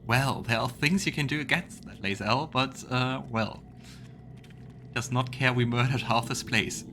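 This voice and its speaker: A man's voice: mid-range voice, unhurried, background noise. A man hosting a let's play, commenting casually and reading dialogue choices aloud.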